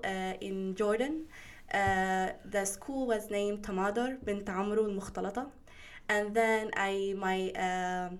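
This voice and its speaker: A teenage girl from Syria whose first language is Arabic, speaking English with an Arabic accent and answering interview questions hesitantly, searching for words. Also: medium pitch. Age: teens. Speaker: graduating student guest.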